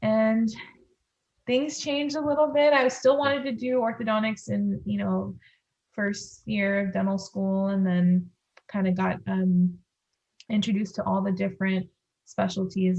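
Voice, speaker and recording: A woman's voice: mid-range voice, fairly even intonation, unhurried, creaky, darkish tone. A woman from Los Angeles with a Californian accent, answering as a podcast guest in casual conversation, on slightly dull lo-fi audio. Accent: Californian American. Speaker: podcast guest, pediatric dentist.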